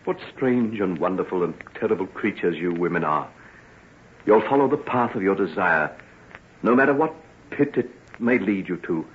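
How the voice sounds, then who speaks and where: An actor playing a troubled, remorseful gentleman doctor, earnest and theatrical, in an old-time radio drama. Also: low voice. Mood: solemn, grateful. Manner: earnest, theatrical, formal phrasing. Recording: muffled, noisy old radio recording.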